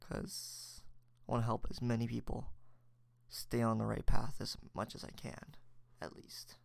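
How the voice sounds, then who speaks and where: A man's voice: medium pitch, little pitch movement, measured pace, creaky, no echo. A young man hosting his own podcast alone, chatting casually and reflectively, rambling with many fillers and restarts. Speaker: podcast host. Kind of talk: solo casual chat.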